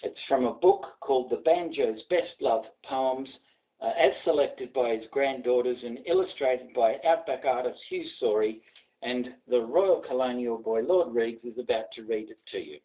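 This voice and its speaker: A man's voice: medium pitch, moderate pace, phone-quality sound. A man with an Australian accent giving a dramatic recitation of poetry. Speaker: poetry reader.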